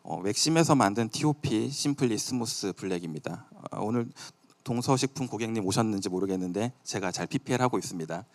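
A man's voice: lowish voice, lively intonation, fairly quick. A man giving a live product demo at a company event in a conversational way. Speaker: presenter at a company tech event.

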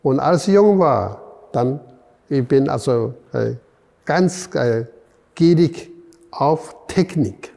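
Slow, gravelly older man, halting. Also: mid-range voice, animated, mellow, big-room sound. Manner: halting, searching for words, many fillers. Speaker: artist guest. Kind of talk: halting interview answers. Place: museum gallery room.

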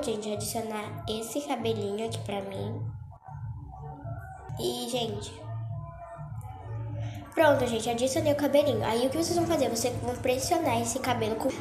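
A young woman with a Brazilian Portuguese accent, chatty and a little congested from a cold, walking viewers through a phone game tutorial. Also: a little high. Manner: chatty, keeps saying "gente" and "tá?". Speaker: Roblox tutorial youtuber. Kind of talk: casual screen-recorded tutorial. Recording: echoey room with music behind.

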